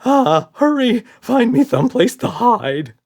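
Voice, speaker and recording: A small, chubby monster from a children's storybook. His voice is high and squealing, leaping about with nervous energy. He whines and frets as a born worrier. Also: big pitch swings, a little slow, darkish tone, heavily acted. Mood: panicked. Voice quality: squealing, whiny. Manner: frantic, stuttering when frightened. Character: short chubby red monster, male, anxious worrier.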